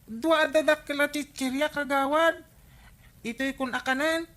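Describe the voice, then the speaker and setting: Woman voicing a very high-pitched little boy. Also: fairly even intonation, measured pace, a bit bright. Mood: eager. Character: eager, polite young village boy buying snacks. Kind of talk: radio comedy drama acting.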